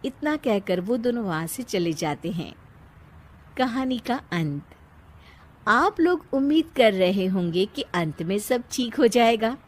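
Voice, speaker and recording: A woman narrating a scripted moral story in a measured storytelling delivery. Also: on the high side, expressive.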